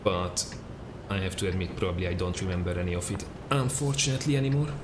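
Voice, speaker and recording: A man's voice: low-pitched, fast. A man with a Hungarian accent, a native Hungarian speaker, chatting casually on a game live stream with plenty of fillers while answering viewers. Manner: relaxed, chatty, many fillers, answers chat questions. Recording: echoey room with faint noise.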